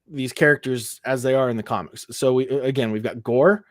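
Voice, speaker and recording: Male podcast co-host, mid pitch, profane and heated, dry close mic. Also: fairly quick, no room sound. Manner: profane, ranting, lots of fillers. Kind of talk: casual chat.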